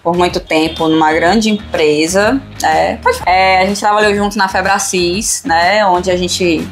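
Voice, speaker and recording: A woman's voice: lowish voice, steady tone, unhurried. A woman hosting a casual podcast chat in an informal, teasing manner. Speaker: podcast host. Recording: music playing behind the voice. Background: music.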